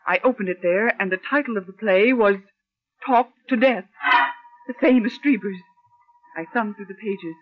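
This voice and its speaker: A woman's voice: medium pitch, husky. An actress playing an earnest, determined female secretary haunted by suspicion, in old-time radio mystery style. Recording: dull lo-fi old radio recording.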